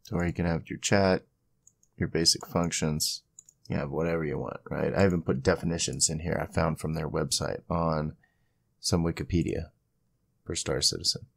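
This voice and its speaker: A man with a low, gravelly voice hosts a casual software tutorial. He talks informally and rambles a bit, thinking aloud as he works through each step on screen. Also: steady tone, normal speed, no room sound, studio quality. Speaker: tutorial host.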